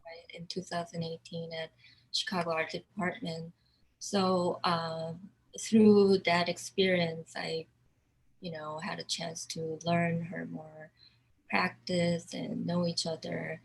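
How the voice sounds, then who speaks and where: Slow, halting woman from South Korea. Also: fairly low pitch, flat delivery, creaky, a little hiss or hum, rough recording. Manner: halting, searching for words. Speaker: artist. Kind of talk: virtual artist talk.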